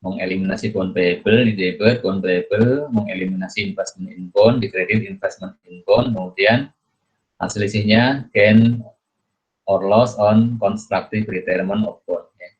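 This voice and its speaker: A man giving an explanatory lecture as a teacher, in a halting, repetitive manner with frequent checking tags. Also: fairly low pitch, steady tone. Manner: halting, repetitive, punctuates phrases with a checking tag. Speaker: accounting lecturer. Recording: dull, muffled lo-fi audio.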